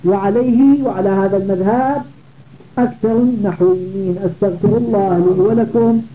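A man's voice: high voice, moderate pace, faint music behind. Methodical male grammar teacher, tinny audio. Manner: methodical, repeats phrases and checks understanding. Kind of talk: classical grammar lesson. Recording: tinny phone-like audio with faint noise.

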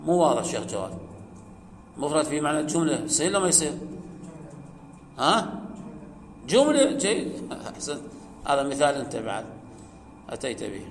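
A man teaching a grammar class, explaining step by step, asking his students questions, and chanting quoted verses slowly. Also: on the high side, big pitch swings, slight background noise. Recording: echoey hall with faint ambience.

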